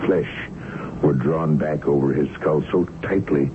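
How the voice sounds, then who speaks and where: Grave, deep-voiced mystery narrator. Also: male voice, noisy background. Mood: hushed horror. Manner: measured, ominous, dramatic delivery. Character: grave educated male doctor, narrator of a gothic mystery. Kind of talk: radio drama acting and narration. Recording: muffled old radio broadcast, loud music.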